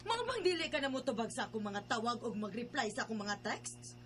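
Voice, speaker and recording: A brazen middle-aged mother, possessive and jealous in a radio drama. The voice is high and sharp, over a faintly noisy recording. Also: very animated, a little fast. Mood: indignant, jealous.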